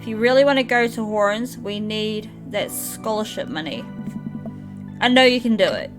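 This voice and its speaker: A woman's voice: mid-range voice, lively intonation, normal speed, close to an everyday voice. A woman voicing a sentimental, earnest father in video game dialogue read aloud. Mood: earnest, urging. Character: sentimental, tender father of a teenage daughter. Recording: music playing behind.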